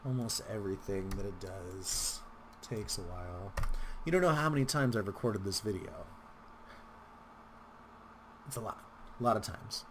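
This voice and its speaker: A man hosting a casual software tutorial, talking in a rambling, informal way with wry asides. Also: lowish voice, moderate pace, long pauses, slight background noise. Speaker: tutorial host.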